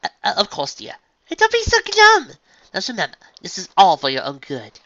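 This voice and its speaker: Someone putting on a high, refined, fussy lady unicorn. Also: animated, fast, fairly bright tone, dry recording, dull audio. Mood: fond, encouraging. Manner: genteel, dramatic, proper speech. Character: refined, fussy young female unicorn dressmaker, gently chiding.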